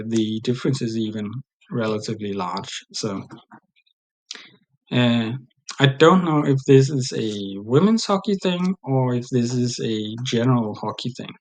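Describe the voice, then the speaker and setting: A man's voice: medium pitch, measured pace, long pauses. Danish man presenting from his desk. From Denmark. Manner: plain, hesitant with frequent fillers. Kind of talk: recorded conference presentation. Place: small room indoors.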